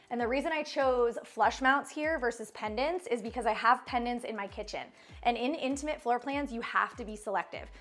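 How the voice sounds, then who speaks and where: A woman's voice: a little high, fairly bright tone. Chatty woman giving a home tour. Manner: chatty, upbeat, laughs often. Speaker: interior designer. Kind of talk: casual home tour chat. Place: bright home interior rooms.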